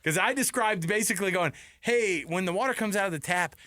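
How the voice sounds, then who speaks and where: A man's voice: high voice, a little fast, sharp tone, dry recording. A man hosting a sports talk show in a studio, informal and bantering, moving between chat and sponsor reads. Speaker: sports radio host. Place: radio studio. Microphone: close studio microphone.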